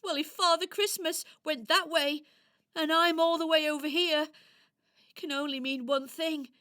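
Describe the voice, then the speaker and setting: A woman playing a timid, gentle lost Christmas toy in a children's audio drama, hesitant, plaintive and easily frightened. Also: sits high, steady tone, normal speed. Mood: bewildered.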